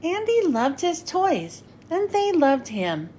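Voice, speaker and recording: Woman, high voice, expressive storybook narration for kids, clear phrasing, slight background rumble. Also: takes it slowly. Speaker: children's read-aloud host.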